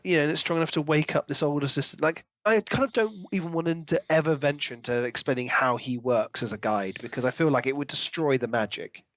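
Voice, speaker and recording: Man, fairly high pitch, enthusiastic, casual book discussion, podcast co-host, very dry recording. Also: dry recording.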